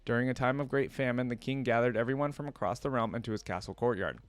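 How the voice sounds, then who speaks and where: A man's voice: mid-range voice, quick pace, no room sound. A man hosting a reaction video, reading a story aloud and commenting casually in slangy asides.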